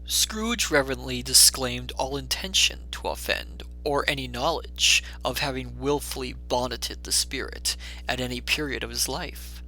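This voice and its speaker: A man's voice: medium pitch, measured pace, hardly any pauses, pushed and bright, a little hiss or hum, poor audio. A man narrating a classic story in a dramatic audiobook style.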